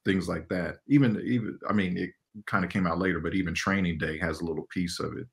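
Very deep-voiced man, laid back. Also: fast talker, vocal fry. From Los Angeles, California. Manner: relaxed, laughs often, many fillers. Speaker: animation director, guest. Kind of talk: casual interview talk.

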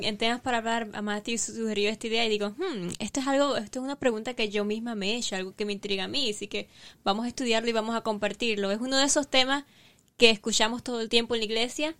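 Female co-host, slightly high voice, explains through stories. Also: steady tone, fast talker, fairly bright tone. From Cuba. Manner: storytelling, explanatory. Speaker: podcast co-host.

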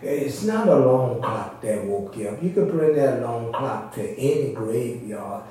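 A man gives an informal Sunday sermon in a halting, conversational style. His voice is mellow and slow. He is recorded from a distance in a strongly echoing space. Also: medium pitch, expressive. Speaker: preacher. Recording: very echoey room, distant microphone.

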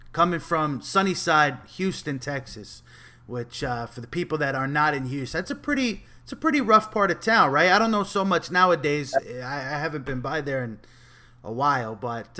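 A man interviews his guest in a relaxed, conversational style. His voice sits a little high and has a clearly bright edge. He comes across as curious and encouraging as he frames his questions. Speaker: interviewer, host.

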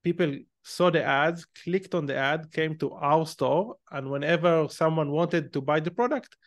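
A man's voice: on the high side, moderate pace, no echo, clean studio sound. A man in his thirties with an Israeli accent, a podcast guest telling his story and explaining things step by step. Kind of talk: conversational interview.

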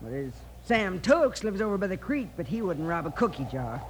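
An actress playing a fussy elderly ranch aunt who chatters in a flustered way in a radio western. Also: female voice, lowish voice, big pitch swings, moderate pace, slight background noise. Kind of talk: 1950s radio acting.

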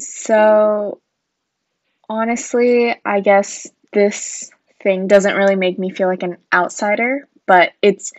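A woman's voice: mid-range voice, no echo, lo-fi sound. A young woman from Japan talking earnestly in a casual conversation, thinking aloud with frequent fillers. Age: young adult.

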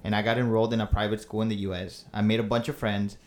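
A man's voice: fairly low pitch, little pitch movement, quick pace. A man in his thirties, originally from Mexico, co-hosting a casual podcast chat in a laid-back, joking way. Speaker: podcast co-host.

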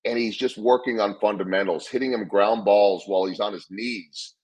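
Husky-voiced man telling stories. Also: on the low side, steady tone, moderate pace, darkish tone, poor audio. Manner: earnest, repeats words when restarting. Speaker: guest speaker on leadership. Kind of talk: conversational interview storytelling.

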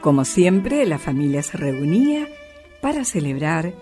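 A woman's voice: sits low, very animated, measured pace, over music. A woman narrating a scripted story in a storybook style.

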